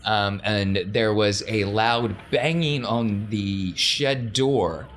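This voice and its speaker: A man's voice: fairly low pitch, slow pace, a bit bright, slight background noise. A man speaking as podcast host and game master, narrating a tabletop role-playing game.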